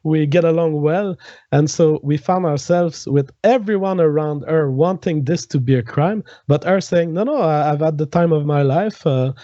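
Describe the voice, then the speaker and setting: A man's voice: fairly high pitch, normal speed, warm tone, no room sound, dull audio. A man from Quebec with a French Canadian accent and French as his first language, earnestly giving a personal account as a podcast guest.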